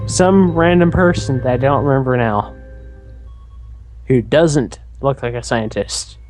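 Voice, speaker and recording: Casting: unhurried joking gamer commentator, repetitive playful riffs, faint game music underneath. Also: medium pitch, a little mellow. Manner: playful, teasing, repetitive riffing. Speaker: let's play commentator.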